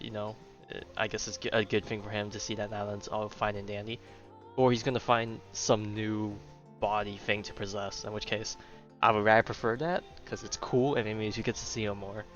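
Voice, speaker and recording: A man's voice: on the low side, flat delivery, fairly quick, a bit bright, soft background music. Casual male game streamer, muffled lo-fi sound. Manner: musing aloud, informal. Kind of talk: casual live commentary on a game.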